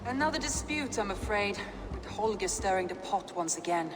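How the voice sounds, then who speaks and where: An actress playing a weary steward who quietly pleads with her leader. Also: mid-range voice, expressive, moderate pace, close to an everyday voice. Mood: pleading. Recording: distant voice, hall reverb, noise.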